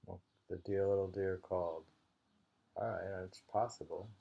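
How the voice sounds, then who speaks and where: A man's voice: low voice, steady tone, moderate pace, creaky, husky, phone-quality sound. A man reading haiku aloud, repeating lines and musing on them in casual commentary. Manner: musing, repeats each poem. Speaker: reader and host of a poetry reading.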